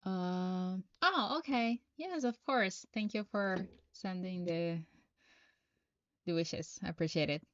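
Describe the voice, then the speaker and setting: A Brazilian woman with a lowish voice, speaking English as a second language, gives tarot answers to viewers of her live stream. She talks at a slow, halting pace, reading questions aloud before she answers. The sound is close and dry, a little dull and lo-fi. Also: a bit bright, no echo. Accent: Brazilian Portuguese. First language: Portuguese.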